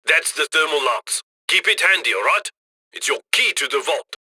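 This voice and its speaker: A man's voice: a little high, expressive, moderate pace, sharp tone, dry recording, heavily acted. A man playing a cheerful, cheeky heist handler who chummily guides a robbery crew in a video game. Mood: brisk, scheming. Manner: chummy, cheeky, colloquial, gleeful about loot. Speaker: mission handler. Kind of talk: video game mission voice lines.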